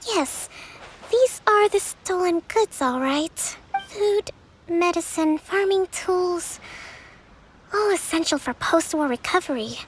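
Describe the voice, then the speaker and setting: A woman voicing a composed young female strategist and priestess in video game dialogue, measured and analytical. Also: very high-pitched, animated, slight background noise, full character voice, poor audio. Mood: pensive. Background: game music.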